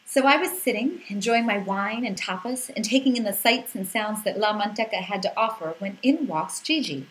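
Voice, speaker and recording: A woman's voice: medium pitch, expressive, normal speed, few pauses, rough recording. Woman narrating a personal story, expressively. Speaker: narrator reading a personal essay.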